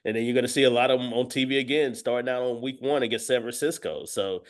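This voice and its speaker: A man's voice: mid-range voice, steady tone, fast. A man talking as a guest sports analyst, giving conversational football commentary sprinkled with verbal tics like "you know".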